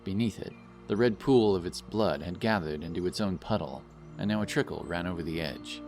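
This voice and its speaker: A man's voice: low-pitched, fairly quick, a little mellow, faint music behind. A man reading scripted fantasy fiction as a dramatic audiobook narrator.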